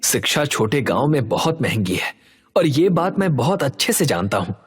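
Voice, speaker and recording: Man as caring young village teacher for animated story: earnest, expressive pitch swings, music underneath. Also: moderate pace, slightly rough. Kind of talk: Hindi animated story voice acting.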